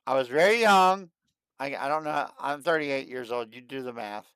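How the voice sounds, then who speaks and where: A man in his late thirties hosting a livestream, chatting casually and excitedly, and often repeating himself. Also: medium pitch, moderate pace, clear, resonant tone, no echo. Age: 30s. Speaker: livestream host. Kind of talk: casual chat.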